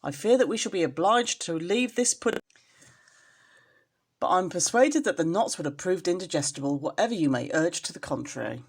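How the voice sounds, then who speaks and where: A woman's voice: lowish voice, expressive, moderate pace, breathy edge. A woman voicing a pompous, fussy male rat from a storybook, speaking in formal, wordy complaints. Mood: alarmed, stately.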